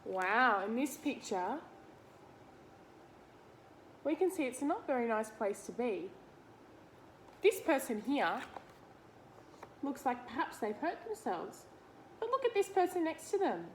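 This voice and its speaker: Higher-voiced Australian woman reading to children. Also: unhurried, long pauses, a little hiss or hum, poor audio. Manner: expressive, questioning, addresses young listeners directly. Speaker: read-aloud presenter for young children. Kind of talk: picture-book read-aloud with simple explanations to children.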